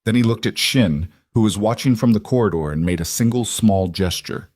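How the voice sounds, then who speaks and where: A man reading scripted dramatic fiction as an audiobook narrator, measured and deliberate in short phrases. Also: lowish voice, darkish tone, no room sound, clean studio sound.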